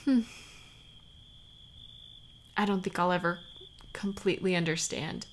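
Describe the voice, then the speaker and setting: A woman acting as a tender, worried lover of a warrior in an intimate audio roleplay, speaking softly and haltingly, sometimes close to a whisper. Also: on the low side, steady tone, slight background noise, close to an everyday voice. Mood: pensive, questioning. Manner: soft, halting, sighing, sometimes near whisper.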